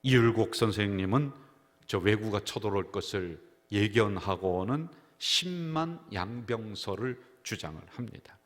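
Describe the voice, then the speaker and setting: A male pastor with a fairly low voice delivers a Sunday sermon, weaving in stories and slipping into the voices of the people he quotes. His words ring through a church sanctuary with a long echo. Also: animated, a little slow. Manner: storytelling preacher who acts out quoted voices. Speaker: senior pastor. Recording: reverberant church hall.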